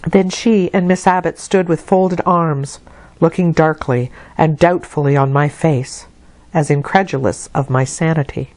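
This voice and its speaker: A woman storyteller reading a classic novel aloud in an expressive literary narration. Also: sits low, unhurried, no room sound.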